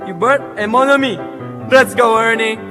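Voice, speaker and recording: A man playing an excitable, big-hearted immigrant restaurant owner in broken English, in a stage radio play. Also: very high-pitched, moderate pace, over music. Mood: jovial. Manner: exclamatory, broken English, emphatic. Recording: hall reverb, live theatre stage.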